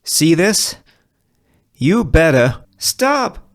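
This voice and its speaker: A man's voice: fairly high pitch, big pitch swings, extremely slow, pitched above the performer's own voice, exaggerated voice. A man voicing a stern, impatient gunman boss in a screenplay reading, barking curt commands and questions. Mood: threatening, exasperated.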